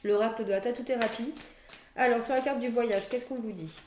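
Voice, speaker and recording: A woman's voice: a little high, steady tone, brisk, a little mellow, reverberant, a little hiss or hum. A young woman with a cold doing a chatty tarot card reading at home, with fillers and restarts, recorded on a computer mic. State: has a cold, coughing. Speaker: tarot reader. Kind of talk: monthly astrology card reading. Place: home, near a stairwell.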